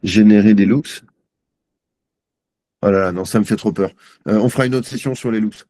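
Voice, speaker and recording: A man giving a live software demo on a video call, chatty and self-mocking, thinking aloud with many fillers. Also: fairly low pitch, normal speed, dry recording, clean studio sound. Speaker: guest presenter.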